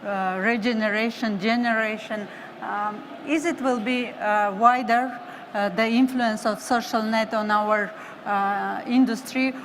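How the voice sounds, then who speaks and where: A woman on a live panel speaking halting non-native English and searching for words. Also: mid-range voice, steady tone. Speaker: panel moderator, team member. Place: live stream stage at a busy event. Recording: noisy event floor ambience.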